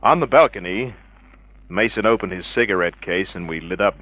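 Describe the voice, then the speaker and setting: A man playing a wry, tough private eye, deadpan and wisecracking, in a hard-boiled radio drama narration. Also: lowish voice, normal speed. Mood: wry, narrating. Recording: dull vintage radio drama recording.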